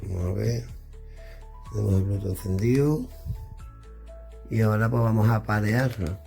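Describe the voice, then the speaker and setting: A man's voice: on the low side, fairly even intonation, normal speed, vocal fry, dark tone, faint music behind. A middle-aged man from chatting casually indoors as he demonstrates radio equipment, thinking aloud while he fiddles with the devices. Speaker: radio gear reviewer.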